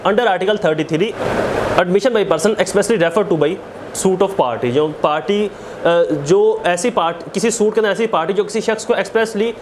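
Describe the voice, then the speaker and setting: Male lecturer, Pakistani accent, high voice, didactic and repetitive for emphasis, slightly noisy recording. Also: slow. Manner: didactic, repeats phrases for emphasis. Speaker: law lecturer. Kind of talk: explanatory lecture mixing read-out statute with examples.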